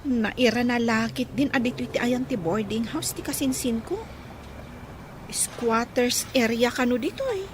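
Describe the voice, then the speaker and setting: A young female student in a radio drama, acted by a woman, talks in a high voice. She is chatty and curious about her new surroundings. Slight noise sits behind the voice. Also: measured pace, close to an everyday voice. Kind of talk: Ilocano radio drama acting.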